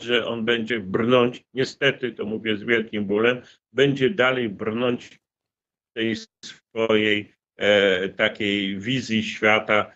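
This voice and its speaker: A man's voice: lowish voice, fairly bright tone. Hesitant middle-aged man on a call. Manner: hesitant, grave, many fillers. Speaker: priest commentator. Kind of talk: remote interview commentary. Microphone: video call. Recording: dull lo-fi video call audio.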